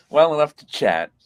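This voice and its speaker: A man hosting a casual live chat, congested from sinus trouble, rambling through stories with frequent laughs and restarts. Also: mid-range voice. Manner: rambling storyteller who laughs often and restarts phrases. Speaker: host.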